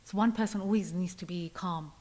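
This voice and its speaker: A young woman talking calmly and reflectively as a guest on a podcast. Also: on the low side, brisk, over a noisy crowd. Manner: calm, reflective, often quotes herself. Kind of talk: casual interview chat.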